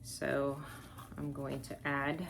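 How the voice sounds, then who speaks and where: A woman's voice: very deep voice, monotone, slow pace, vocal fry, slight background noise. A woman from Texas hosting a wreath-making tutorial, chatting casually and thinking aloud as she works, with rambling asides. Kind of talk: casual crafting tutorial, talking while working.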